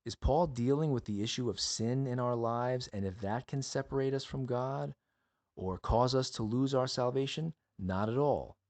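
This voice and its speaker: A man's voice: medium pitch, a little mellow. A man teaching the Bible as a podcast host, speaking earnestly and emphatically in a monologue built on rhetorical questions and appeals to the listener.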